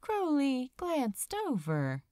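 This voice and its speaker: A woman reading fan fiction aloud as a narrator, with measured, expressive storytelling delivery. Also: sits high, no room sound, studio quality. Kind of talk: scripted audiobook-style narration.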